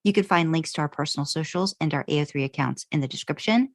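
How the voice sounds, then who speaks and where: Low-voiced woman podcaster, dry sound. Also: fast talker, no echo. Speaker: podcast co-host. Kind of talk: casual fan discussion chat.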